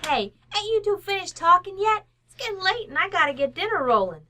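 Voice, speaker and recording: A woman's voice: extremely high pitch, sing-song, brisk, noisy background. A woman playing a brash working-class housekeeper in an old-time radio comedy, blunt and slangy in her speech. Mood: bossy, impatient.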